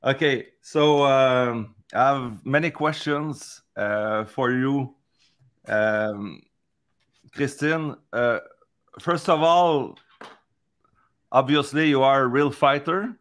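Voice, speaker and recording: A man hosting an interview, speaking slowly and hesitantly with a Québécois French accent, switching between French and English. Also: medium pitch, a bit bright. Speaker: host, columnist.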